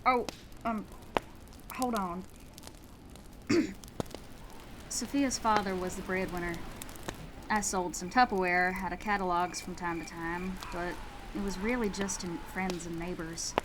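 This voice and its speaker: A woman playing a subdued widowed mother in a horror comic dub, speaking in a halting, hesitant way. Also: fairly low pitch, steady tone, natural voice. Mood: hesitant, sorrowful. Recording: noisy track with rain behind.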